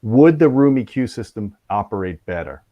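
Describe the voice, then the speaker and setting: A man's voice: on the low side, lively intonation, moderate pace, no room sound, dull audio. A man hosting a technical discussion in a casual, conversational way. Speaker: host.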